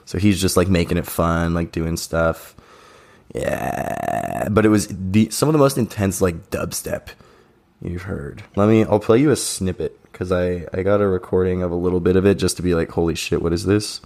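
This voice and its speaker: A man's voice: sits low, moderate pace, a little mellow. A young man co-hosting a podcast, telling festival stories in a laid-back, joking way, his voice a bit strained. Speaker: podcast co-host. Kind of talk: casual chat.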